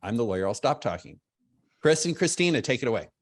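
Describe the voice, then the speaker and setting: A lawyer hosting a webinar, introducing guests in a chatty, self-deprecating way over a video call. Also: male voice, medium pitch, very animated, fast, no echo, studio quality.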